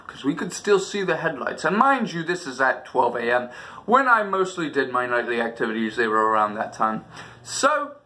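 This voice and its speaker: Guy doing a casual storytime, kinda high bright voice, super dramatic delivery. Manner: dramatic, casual, acts out dialogue and sounds. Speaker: storytelling channel host. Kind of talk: animated personal storytime.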